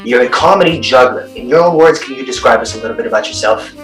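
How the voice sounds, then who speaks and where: Host in an echoey room, off-mic. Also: male voice, mid-range voice, fast talker, fairly bright tone, faint music behind. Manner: encouraging, restates the guest's points. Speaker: podcast host. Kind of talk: casual interview. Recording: roomy, distant from the mic.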